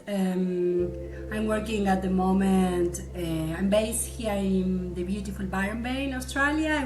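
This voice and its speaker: A woman's voice: mid-range voice, reverberant, rough recording. A woman from Argentina with an Argentine Spanish accent, a psychologist presenting a webinar who often checks in with "yes?" and "right?".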